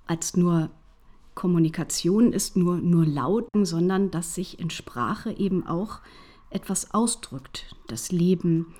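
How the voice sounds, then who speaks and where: A woman's voice: lowish voice, takes it slowly, dark tone. A woman hosting an academic interview, asking questions and encouraging her guests. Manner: encouraging, frequent affirmations.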